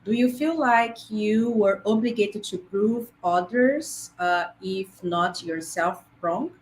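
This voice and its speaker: Slow-speaking woman asking a question. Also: medium pitch, slight background noise, low-quality recording. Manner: halting, polite questions with fillers. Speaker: audience questioner. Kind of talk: conference Q&A.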